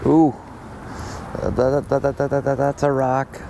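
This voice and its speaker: A man in his thirties talking casually while fishing from an open boat on a river, getting excited when fish bite, picked up by a camera on the boat. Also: mid-range voice, measured pace, vocal fry. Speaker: fishing vlogger. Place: open bass boat on a wide river outdoors. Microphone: boat-mounted action camera. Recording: outdoor wind and boat noise. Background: boat and water noise.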